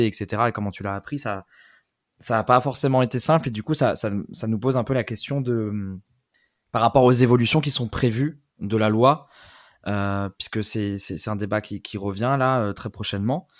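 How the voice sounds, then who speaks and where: Podcast host guy, relaxed, long wandering questions, dry close sound. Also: lowish voice, dry recording. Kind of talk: casual interview chat.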